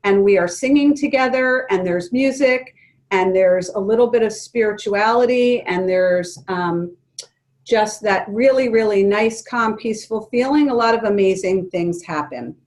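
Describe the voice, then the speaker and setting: A woman leading an online workshop for educators, speaking calmly and gently with frequent ums. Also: medium pitch, measured pace. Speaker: presenter leading an educators' forum. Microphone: video call.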